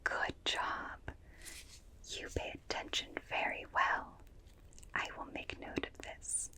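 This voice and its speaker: Whispering, slow, polite alien examiner played by a woman. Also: pushed and bright. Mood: polite, approving. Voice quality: breathy whisper. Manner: slow, soothing, precise, formally polite. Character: polite, calm alien examiner studying a small human. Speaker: ASMR performer. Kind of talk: whispered ASMR roleplay with personal attention. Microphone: close binaural ASMR microphone.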